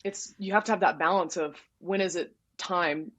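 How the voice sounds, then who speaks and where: A young woman from Texas, a college goalkeeper telling stories in a loud, direct, animated way during a casual interview. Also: fairly low pitch, normal speed. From Dallas, Texas. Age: young adult. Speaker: soccer goalkeeper, guest.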